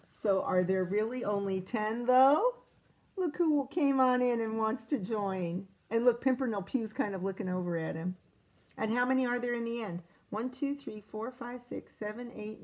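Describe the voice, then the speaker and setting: A woman teacher reading a picture book aloud to children, pausing to ask questions and count along. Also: a little high. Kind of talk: children's read-aloud with commentary.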